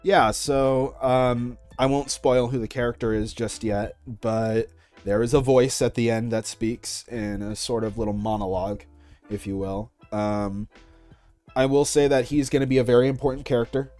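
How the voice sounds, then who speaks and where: A man's voice: medium pitch, fairly even intonation, moderate pace, a little mellow. A young man answering questions about his creative work in a casual interview, as a content creator. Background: music.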